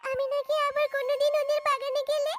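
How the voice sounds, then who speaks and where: Woman as an earnest, squeaky-high little animal girl. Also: flat delivery, quick pace. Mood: hurt, earnest. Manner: childlike, pleading, earnest. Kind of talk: cartoon voice acting. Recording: music behind the voice.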